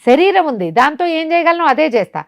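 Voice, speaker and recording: A woman's voice: high voice, big pitch swings, brisk, dry recording, pitched above the performer's own voice. Storybook demon played by a woman: big put-upon male servant, sullen, grumbling self-defence, dry sound. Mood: protesting.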